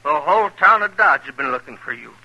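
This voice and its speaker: An actor playing an agitated, indignant frontier bank owner in a western radio drama, with an American accent and a fretful, scolding manner. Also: male voice, fairly high pitch, expressive, brisk, pushed and bright, lo-fi sound, heavily acted.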